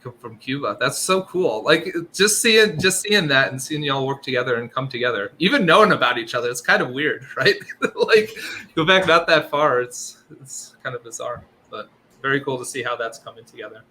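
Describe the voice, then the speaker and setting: Bright-voiced upbeat male host. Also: mid-range voice, lively intonation, moderate pace, a little hiss or hum. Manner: upbeat, friendly, drawling "y'all". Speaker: podcast host. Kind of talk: casual tech chat.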